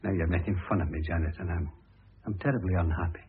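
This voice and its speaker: An actor playing an aging, wealthy husband in a classic radio drama, proud, plaintive and earnest. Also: male voice, deep voice, fast talker, slight background noise, lightly acted. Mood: wistful, unhappy. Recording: muffled phone-like old radio recording.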